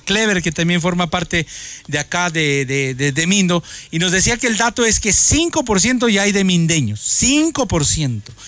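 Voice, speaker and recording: A man's voice: sits high, a bit bright. A man reporting live for radio from a town park, speaking effusively with many hesitations and restarts. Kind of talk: live radio remote broadcast. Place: outdoors in a town park, in the rain.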